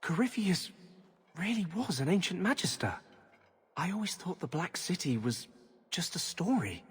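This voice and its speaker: A man's voice: high-pitched, big pitch swings, moderate pace, husky, exaggerated voice. A man playing a skeptical, bookish mage in a fantasy video game, articulate, emphatic and argumentative. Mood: thoughtful, unsettled.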